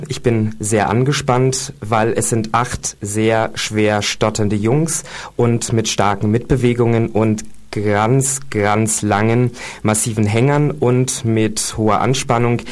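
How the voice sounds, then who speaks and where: A man's voice: lowish voice, fairly even intonation, slow pace. A man co-hosting a radio show, talking casually with many fillers and reading quotes aloud. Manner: hesitant, with fillers.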